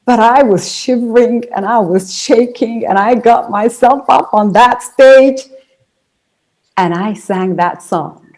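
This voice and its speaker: A woman from Ethiopia, with Amharic as her first language, talks through her story as an interview guest. Her tone is mellow and dark, and she tells it in a loose, chatty way, restarting phrases and dropping in fillers. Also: fairly high pitch, slow. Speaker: interview guest, singer and speaker. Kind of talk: conversational interview.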